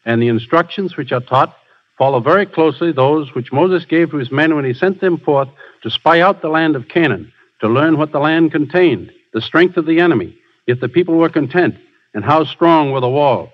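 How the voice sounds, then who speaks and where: A man's voice: on the high side, normal speed. A man giving prepared, formal remarks as a guest expert on a radio program. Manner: formal, lecturing. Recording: muffled old radio broadcast.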